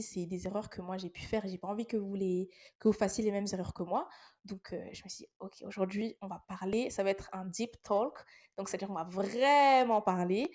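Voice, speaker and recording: A woman's voice: mid-range voice, a little mellow. Young woman with a cold, earnest. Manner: earnest, encouraging, speaks directly to listeners. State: has a head cold. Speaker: podcast host. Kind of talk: casual faith talk podcast.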